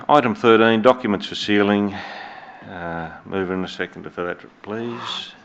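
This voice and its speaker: A man with an Australian accent chairing a council meeting as mayor, measured and hesitant with many fillers, recorded on dull lo-fi room audio. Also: lowish voice, gravelly, fairly bright tone, a little hiss or hum. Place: council chamber.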